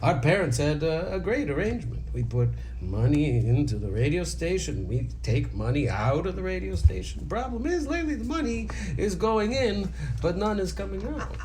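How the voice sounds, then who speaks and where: A man playing a suave, rich Italian businessman in a comedy table read, halting and politely menacing. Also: high-pitched, big pitch swings, darkish tone, a little hiss or hum. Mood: veiled threat. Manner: halting, with hesitant pauses.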